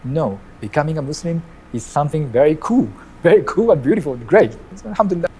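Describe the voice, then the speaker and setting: A middle-aged man from Japan with a Japanese accent, an imam telling his life story in an interview, measured and often restarting his phrases. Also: a little high, animated, darkish tone, slight background noise, rough recording.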